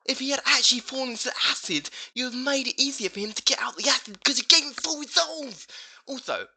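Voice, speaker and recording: A woman's voice: a little high, bright, forceful tone. A woman co-host reading gamer forum posts aloud for comedy, with a mock-serious, exaggerated delivery.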